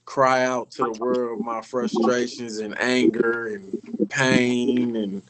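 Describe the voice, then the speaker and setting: A man's voice: mid-range voice, flat delivery, slow pace, a crowd in the background, poor audio. A middle-aged man from Illinois, a guest rapper telling his story in a casual, profane, rambling way on a podcast. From Illinois, North Chicago area.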